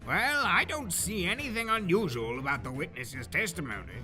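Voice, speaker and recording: Man acting a bright, high-pitched befuddled old judge. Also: animated, moderate pace, soft background music. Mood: formal. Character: bearded old male judge, befuddled but dignified. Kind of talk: audio drama acting.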